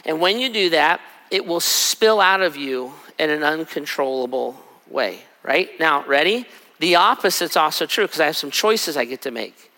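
Raspy-voiced pastor in a reverberant hall. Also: male voice, on the high side, lively intonation, pushed and bright. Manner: conversational, acts out quick asides. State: raspy from a cold trip. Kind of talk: sermon.

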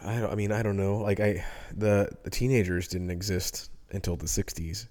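A man's voice: fairly low pitch, fairly even intonation, normal speed, darkish tone. A man in his early forties talking casually and reflectively as co-host of a podcast discussion. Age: early 40s.

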